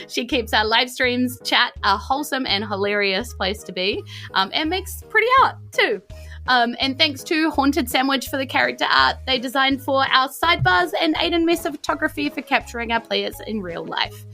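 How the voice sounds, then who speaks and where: A woman with a New Zealand accent, hosting and narrating a tabletop game podcast in a playful, expressive, enthusiastic way. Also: sits high, normal speed, faint music behind. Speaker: game master and podcast host.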